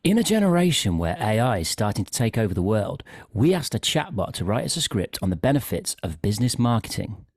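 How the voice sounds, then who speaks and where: A man's voice: lowish voice, big pitch swings, a little fast, hardly any pauses, slightly rough, no echo, clean studio sound. A man narrating a scripted comic story.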